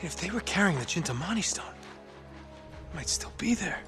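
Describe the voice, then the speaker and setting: An American actor playing a cocky, wry treasure hunter in a casual, conversational way for a video game cutscene. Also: male voice, high voice, sing-song, brisk. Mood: excited. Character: cocky, wisecracking male treasure hunter, adaptable and improvising. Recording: cinematic music behind the voice.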